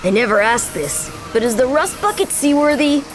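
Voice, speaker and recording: High-pitched, cocky wisecracking boy hero. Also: very animated, moderate pace, over music. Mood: earnest. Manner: sarcastic, brash kid delivery. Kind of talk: cartoon video game acting.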